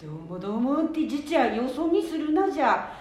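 Woman playing a very high-pitched nagging grandma, Nanbu dialect. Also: fairly quick. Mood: scolding. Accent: Nanbu dialect, northern Japan. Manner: scolding, excitable. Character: hasty, nagging, alarmed old grandma. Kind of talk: amateur radio drama acting. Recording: echoey room.

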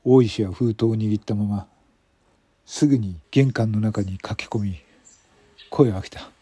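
男性がラジオドラマの台本を、間を取りながら語るナレーション。. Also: male voice, lowish voice, a little slow, warm tone, husky, no echo. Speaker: narrator. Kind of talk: scripted radio drama narration.

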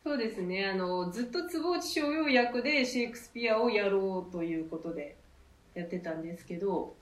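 A woman explains her ideas reflectively in a mid-pitched voice, as a theatre member on a talk show. She is recorded in an echoey room, away from the mic. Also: animated, brisk, far from the mic. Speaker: theatre troupe member explaining its artistic approach.

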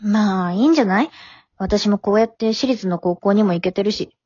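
A woman plays a high-school daughter in an amateur radio drama, plain-spoken and teasing but forgiving. Her voice sits at a middle pitch, recorded very dry and slightly muffled. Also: a little fast, a little mellow, breathy edge, no echo, lightly acted. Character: frank, forgiving teenage high-school daughter, gently chiding.

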